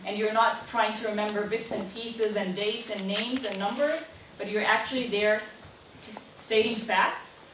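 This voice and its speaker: A woman's voice: mid-range voice, steady tone, unhurried. A woman speaking earnestly to a live audience at a talk event, answering questions at length. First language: not German; English not stated as first. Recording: very echoey room, distant mic, faint noise.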